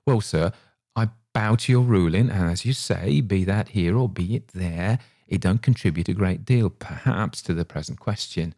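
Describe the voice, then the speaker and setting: A man's voice: low voice, moderate pace, warm tone, no echo, clean studio sound. A man voicing a pompous, long-winded male innkeeper who talks in tangled, ungrammatical phrases. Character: pompous garrulous male innkeeper, middle-aged.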